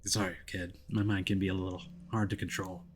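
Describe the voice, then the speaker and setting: A man voicing a stubborn, hard-bitten male detective in a visual novel, with a gruff, terse delivery. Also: low voice, little pitch movement, fairly quick, slight background noise, natural voice. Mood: calm, weary. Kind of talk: visual novel character reading.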